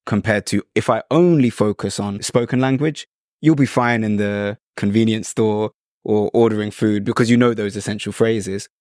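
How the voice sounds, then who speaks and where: A British man with a somewhat low voice hosts an interview in a relaxed, conversational way, trading his own stories with the guest. The recording is dry and close with no room sound. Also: moderate pace, no room sound. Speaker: host. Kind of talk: casual interview chat.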